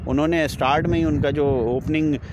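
A man in his thirties reporting live from outside a stadium and interviewing people, speaking haltingly with frequent filler pauses into a handheld mic. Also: fairly high pitch, fairly even intonation, background noise. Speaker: sports reporter. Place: outdoors by a stadium.

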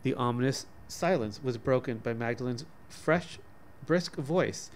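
A man's voice: mid-range voice, a little slow, a little hiss or hum. A man in his forties reading a short story aloud expressively, sometimes stumbling and rereading words. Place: small office, indoors. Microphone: desk microphone in front of him.

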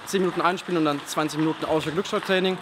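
A young man with a fairly high voice explains drills to players in a big sports hall. He is recorded from some way off, so the voice rings with reverb over loud background noise. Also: steady tone, rapid-fire, crowd noise. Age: young adult. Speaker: table tennis trainer and channel host. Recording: roomy hall audio from a distance.

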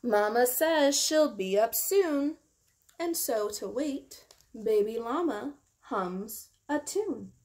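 A woman's voice: a little high, lively intonation, darkish tone. A young woman reading a picture book aloud to children expressively, drawing out key words and explaining it in simple terms. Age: young adult. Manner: slow, deliberate, drawn-out key words. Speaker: teacher reading a children's storytime.

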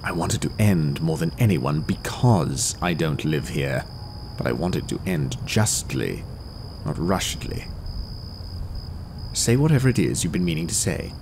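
An actor playing a haughty, brooding detective in an audio drama, with ornate, theatrical diction. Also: male voice, very deep voice, animated, measured pace, noisy background, full character voice. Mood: stern, impatient. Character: haughty, brooding male detective investigating a village murder. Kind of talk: dramatic audio novel narration and dialogue.

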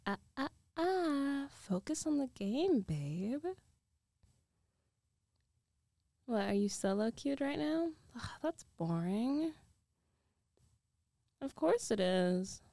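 An older, dominant girlfriend acted by a woman in an intimate ASMR roleplay. She speaks slowly in a soft, dark voice with gravelly fry at the edges, playful and teasing, often sinking toward a whisper. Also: a little high, very animated, no room sound, full character voice. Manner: teasing, giggly, slow, often dropping to a whisper.

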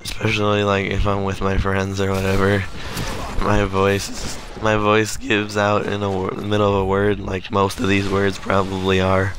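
A man with a hoarse, strained voice that keeps cracking, clearing his throat often as he talks casually over gameplay footage. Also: low voice, monotone, normal speed, background noise. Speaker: gaming channel host.

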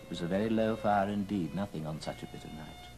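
A man's voice: sits low, fast, creaky, rough recording. Male radio drama narrator over music.

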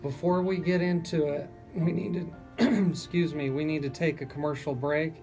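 A man's voice: fairly high pitch, fairly even intonation, moderate pace, a little mellow, soft background music. A man hosting a radio interview in a conversational style, putting questions to his guests. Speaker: radio host. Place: small radio studio. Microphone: studio microphone with headset.

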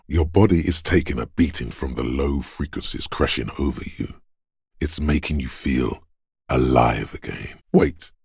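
A man playing the grave, stoic inner voice of a detective's body in a video game, narrating with a very deep rasp and measured, weighty delivery. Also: darkish tone, no room sound, studio quality, exaggerated voice. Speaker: voice actor. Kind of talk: scripted game narration in second person.

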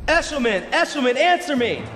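Game soldier: anxious, high male voice, music behind. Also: very animated, brisk, fairly bright tone, reverberant, in a large hall, exaggerated voice. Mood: frantic, pleading. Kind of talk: video game cutscene acting.

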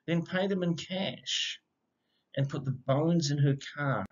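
A middle-aged man reading a rhyming children's picture book aloud in an expressive, measured storytelling manner. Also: a little high. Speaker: storybook reader, educator. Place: indoor room. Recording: slightly dull, lo-fi webcam sound.

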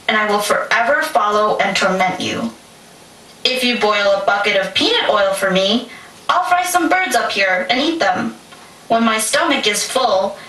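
A brave, clever young girl acted by a woman in a puppet play. Her voice is high and bright. It is heard in an echoey space with some noise. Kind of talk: puppet show voice acting. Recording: echoey, distant, slightly noisy stage recording.

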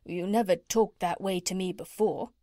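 A woman voicing a kindly, honest and curious gentleman doctor in a children's storybook, polite and earnest. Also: on the low side, steady tone, moderate pace, dry recording, clean studio sound, lightly acted. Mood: surprised. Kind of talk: children's storybook character reading.

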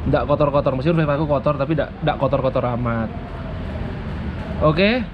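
A man's voice: on the high side, fairly quick. A man chatting casually outdoors beside his scooter, explaining things as he shows them. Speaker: motovlogger. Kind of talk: casual how-to vlog chat. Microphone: action camera. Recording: muffled action-cam audio with clear traffic noise.

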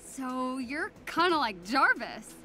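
A woman's voice: high voice, very animated, slow, over loud music, exaggerated voice. A woman voicing an earnest, nervous but plucky teenage girl superhero in a video game, with a casual, hesitant way of talking.

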